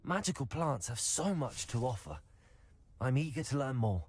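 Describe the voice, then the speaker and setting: A man's voice: medium pitch, very animated, moderate pace, darkish tone, lightly acted. Video game role: actor as earnest young male pupil at magic school, courteous, varied melody, slight noise behind. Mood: polite, curious. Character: polite, eager teenage male student wizard. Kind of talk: video game character acting.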